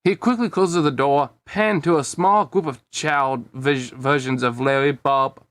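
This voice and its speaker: A man's voice: mid-range voice, measured pace, a bit bright, dry recording. A man reading a fan fiction story aloud casually, often breaking off to comment on it with a chuckle. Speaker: amateur fan fiction reader.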